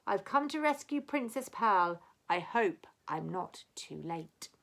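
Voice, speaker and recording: A woman's voice: medium pitch, expressive, slow pace, creaky, a bit bright, exaggerated voice. A woman voicing a gallant, pompous and eager male knight from a children's storybook.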